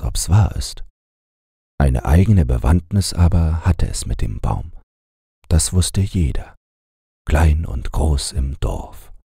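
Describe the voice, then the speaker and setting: Guy reading fairy tales for sleep, slow mellow gravelly voice, unhurried storyteller, close dry sound. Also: very deep voice, dry recording. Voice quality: gravelly, husky. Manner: measured, unhurried storyteller delivery. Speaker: audiobook narrator. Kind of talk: scripted bedtime fairy-tale narration.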